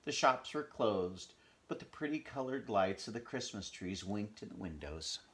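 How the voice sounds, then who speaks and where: An older man reads a storybook aloud in a measured, expressive way, recorded at home in a small room. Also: fairly low pitch. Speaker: storybook reader. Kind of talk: read-aloud storybook narration. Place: small home bedroom, indoors.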